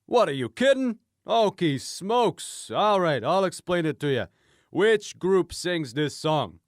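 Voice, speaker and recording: A man playing a self-assured crackpot conspiracy theorist, explaining emphatically and deliberately in an improvised radio comedy interview. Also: high-pitched, very animated, dry recording, studio quality, full character voice. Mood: exasperated, insistent.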